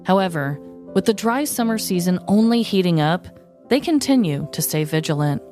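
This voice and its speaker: Lowish-voiced woman, wry scripted storyteller. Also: animated, moderate pace, mellow, soft background music. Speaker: podcast host. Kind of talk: scripted comic true-crime storytelling.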